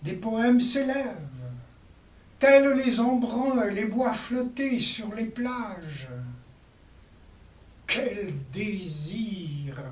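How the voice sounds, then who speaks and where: A performer reciting poetry in a deliberate, declamatory way with long pauses. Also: medium pitch. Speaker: performer reading poems in a show. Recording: roomy, distant mic, faint noise.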